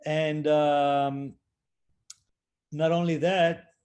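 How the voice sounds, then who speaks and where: A man's voice: on the high side, level tone, extremely slow, darkish tone. A man from Israel, speaking as a panelist in a roundtable discussion over a video call, hesitant with many fillers. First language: Hebrew. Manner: hesitant, many fillers, self-deprecating laughs.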